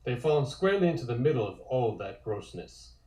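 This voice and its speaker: Casting: male storyteller for table-read narration, warm mid-range voice, measured; roomy audio. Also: medium pitch, very animated. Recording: roomy, echoey sound.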